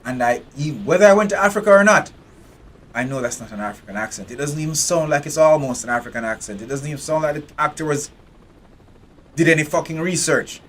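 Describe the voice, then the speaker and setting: A man's voice: on the high side, fairly quick, a bit bright, a little hiss or hum. A man from Jamaica, giving blunt, profane live commentary while streaming a game.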